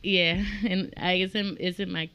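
Bright, clear-voiced woman in her thirties. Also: fairly low pitch, dry recording. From Dominican Republic. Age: 30s. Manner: animated, laughing, talks in quick bursts. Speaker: realtor guest. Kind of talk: casual podcast chat.

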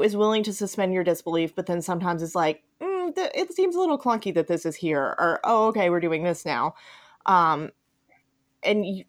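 A woman hosting a casual podcast chat, speaking in an enthusiastic, emphatic and informal way. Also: on the low side. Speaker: podcast host.

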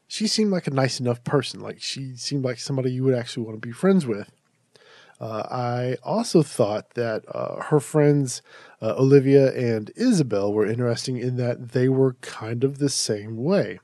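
Stuffed-up, mellow-voiced podcast host. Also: male voice, medium pitch, measured pace, no echo, clean studio sound. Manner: chatty, rambling with restarts and fillers. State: stuffed up, slightly nasal from allergies. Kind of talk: casual horror movie review.

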